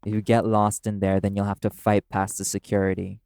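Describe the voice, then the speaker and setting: A man's voice: on the low side, completely flat delivery, a little fast, gravelly. A man playing a brash, proud demon in an audio roleplay, terse, scornful and commanding in manner. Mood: impatient, scornful. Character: brash, proud, curt demon, prickly and guarded.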